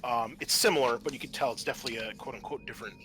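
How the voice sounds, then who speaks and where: A man acting as game master, narrating a tabletop roleplaying game to friends and explaining rules in a halting way. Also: mid-range voice, steady tone, bright, forceful tone, slight background noise, rough recording. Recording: faint music behind the voice.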